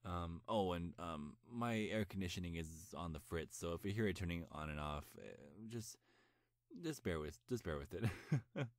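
A young man playing a nervous, lovestruck best friend in an intimate ASMR roleplay, speaking earnestly with a halting stammer. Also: deep voice, animated, no echo, studio quality. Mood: nervous, flustered.

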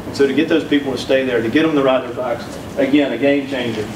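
A man's voice: mid-range voice, fairly even intonation, fast talker, far from the mic, noisy background. Folksy Southern man in an echoey room. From South Carolina. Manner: folksy storytelling with humor. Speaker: keynote speaker. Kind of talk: conference keynote talk. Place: conference room, indoors. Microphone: handheld mic, recorded from far back.

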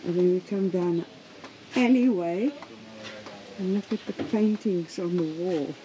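A woman's voice: on the low side, a little slow, mellow, a little hiss or hum, rough recording. A woman chatting casually while out and about, picked up on camera audio. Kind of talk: casual chat. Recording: faint background ambience on camera audio.

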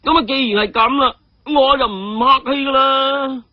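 Man voicing a coy, high-pitched young woman. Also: fairly even intonation, moderate pace, dry recording, highs cut off. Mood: bashful, coy. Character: bashful, softly scolding young woman.

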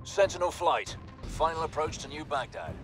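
An actor playing a focused soldier on a combat drop, using clipped military phrasing in a video game. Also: male voice, a little high, expressive, moderate pace, natural voice, rough recording. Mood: focused, businesslike. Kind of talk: video game mission chatter. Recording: loud music and vehicle noise.

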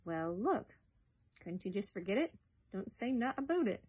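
A woman's voice: mid-range voice, normal speed, breathy edge. Woman playing an eager, naive young lad. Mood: excited. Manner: earnest, naive, excitable. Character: eager, simple young male dogcatcher's assistant.

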